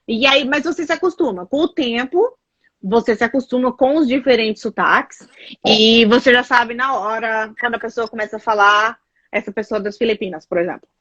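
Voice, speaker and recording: A woman's voice: on the high side, brisk, dry recording. Brazilian woman, raised voice, chatty storyteller, dull lo-fi call audio, dry sound. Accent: Brazilian Portuguese. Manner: chatty, animated storytelling with many fillers. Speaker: guest. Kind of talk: casual chat.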